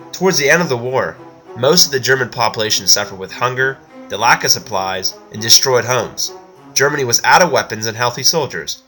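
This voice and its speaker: Guy doing scripted essay voiceover, mid pitch, music clearly playing behind. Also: sharp tone, poor audio. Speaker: video essay narrator. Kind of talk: scripted narration.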